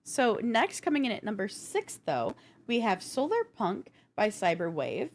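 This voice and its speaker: A woman's voice: medium pitch, wildly swooping pitch, measured pace, a little hiss or hum. A woman co-hosting a gaming news show, counting down a list in a casual, chatty, informal way.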